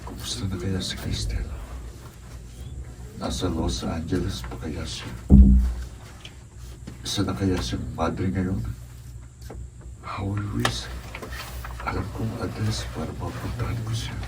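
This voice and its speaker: Respectful, earnest young Filipino seminarian , male actor, radio drama. Low register, off-mic with noticeable noise. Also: steady tone, takes it slowly, long pauses. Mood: wistful. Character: earnest, respectful young seminarian, wistful about his old friend. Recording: noisy, distant amateur recording with room ambience.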